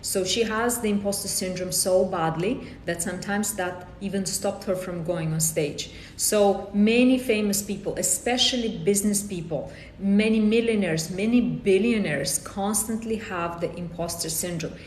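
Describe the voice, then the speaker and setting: A woman's voice: normal speed, few pauses, darkish tone. A woman from Romania with a Romanian accent, a life coach hosting a live-streamed workshop, greeting viewers by name and often repeating or restarting her phrases. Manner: greets viewers by name and reads comments aloud. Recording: echoey room with faint rumble.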